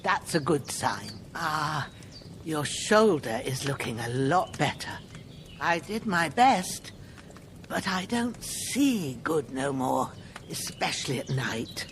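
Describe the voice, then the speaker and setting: A gruff old village healer. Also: female voice, low voice, animated, takes it slowly, slight background noise, exaggerated voice. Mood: caring. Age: older. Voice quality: folksy, scolding, plain rustic speech. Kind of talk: video game dialogue.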